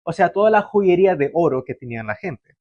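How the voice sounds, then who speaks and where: A man's voice: high-pitched, lively intonation, brisk, darkish tone, dry recording, highs cut off. A young man born in Canada, preaching a sermon to a livestream audience in a conversational way, with fillers and restarts. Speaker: pastor.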